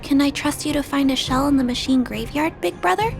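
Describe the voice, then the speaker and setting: A woman voicing a shy, kindhearted little girl in a video game, gentle, earnest and polite. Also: high voice, fairly quick, creaky, over music. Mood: thoughtful, helpful.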